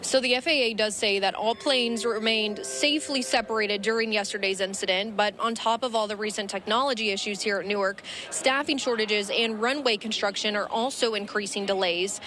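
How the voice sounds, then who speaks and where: A woman's voice: medium pitch, fairly quick, few pauses, pushed and bright. A woman working as a field reporter, giving a live news report from on location. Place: on location at an airport. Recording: clear background ambient noise.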